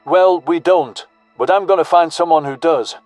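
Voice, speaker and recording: A man's voice: fairly high pitch, moderate pace, darkish tone, no room sound, soft background music, natural voice. A man voicing a blunt, confident, commanding human soldier in an audio story. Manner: blunt military slang. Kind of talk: audio story character dialogue.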